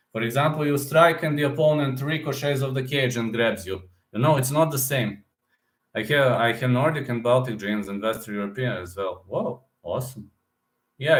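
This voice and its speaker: Rambling man, echoey room. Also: mid-range voice. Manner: rambling, repeats words, restarts sentences often. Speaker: MMA fighter and betting-picks streamer. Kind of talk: casual live-stream chat reading comments.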